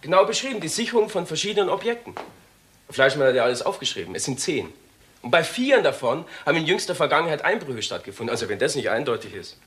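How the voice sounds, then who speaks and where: A man acting an eager, impatient younger detective in a crime radio drama, brisk and insistent. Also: a little high, sing-song, a bit bright.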